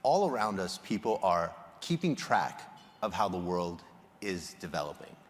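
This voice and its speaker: Low-voiced man presenting in a reverberant hall. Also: sing-song, normal speed, slight background noise. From Egypt. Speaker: conference speaker, polling researcher. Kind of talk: prepared public presentation to an audience. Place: large indoor hall, on stage.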